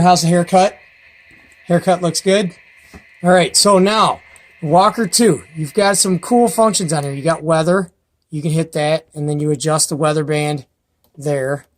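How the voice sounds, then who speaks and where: An enthusiastic man hosts a casual gear review in a folksy, rambling way. He films at home by himself on a handheld camera mic. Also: on the high side. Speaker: host of a CB radio review channel. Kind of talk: casual product review and unboxing.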